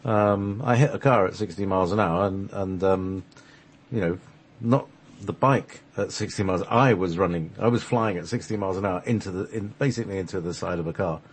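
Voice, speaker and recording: A man's voice: low voice, brisk, husky. A man hosting a radio show, telling stories casually in a rambling, hesitant, jokey manner. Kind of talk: casual conversational storytelling.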